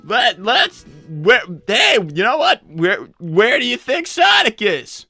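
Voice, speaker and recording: A man's voice: very high-pitched, huge pitch swings, slow, sharp tone, no room sound, studio quality, soft background music, exaggerated voice. A man voicing an anxious, easily flustered young cartoon character who stammers and repeats protests in improvised livestream banter. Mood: flustered, exasperated.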